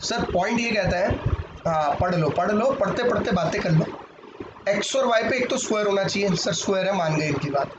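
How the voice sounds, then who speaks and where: A man from India, teaching maths in a live online class, hoarse from a sore throat and bantering with his students. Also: sits high, lively intonation, brisk. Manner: banters with students, mixes Hindi and English. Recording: muffled, roomy with background noise.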